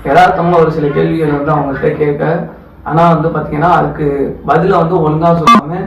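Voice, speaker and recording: A man delivers casual, critical commentary at a quick clip. His voice is gravelly and fairly high. The recording is distant and echoey. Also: steady tone. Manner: colloquial, conversational, critical. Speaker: commentary video host. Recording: distant, echoey, dull with faint noise.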